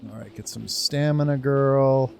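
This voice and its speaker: A man's voice: medium pitch, fairly even intonation, a little slow, creaky, a little mellow, a little hiss or hum. A man giving casual playthrough commentary as a gaming video host, informal, with muttered asides. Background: game music.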